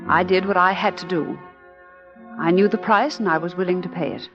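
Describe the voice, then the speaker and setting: A woman's voice: lowish voice, fairly even intonation, brisk, lightly acted. An actress playing a brave, selfless condemned woman saying farewell, earnest and resolute, in 1940s radio drama style. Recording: dull old radio recording. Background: clear music.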